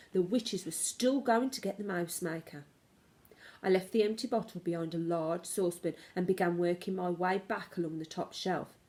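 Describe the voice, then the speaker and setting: A woman's voice: on the low side, mellow. Woman narrating a children's storybook, dramatic emphasis. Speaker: teacher reading aloud for home learning.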